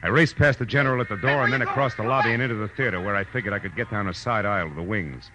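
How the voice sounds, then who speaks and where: A man's voice: fairly low pitch, rapid-fire, heavy background noise, close to an everyday voice. A man playing a wry, hard-boiled private detective in a 1940s radio noir drama, with clipped tough-guy delivery. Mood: tense, brisk. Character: hard-boiled male private detective, wry and sardonic, narrating his case. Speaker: lead actor and narrator. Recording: dull vintage radio recording with music.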